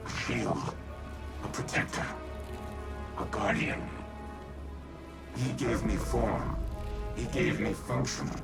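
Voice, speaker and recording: An actor playing an ancient male warmind AI, speaking in a measured, formal, grave way with a gravelly voice. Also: fairly low pitch, fairly even intonation, exaggerated voice. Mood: resolute. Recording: game audio in a reverberant space with loud music.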